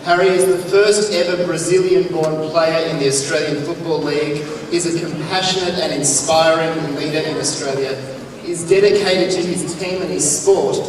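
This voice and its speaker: A man's voice: a little high, steady tone, normal speed, background noise. A man introducing the next speaker at a conference in a formal announcing manner. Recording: echoey hall with crowd, far mic.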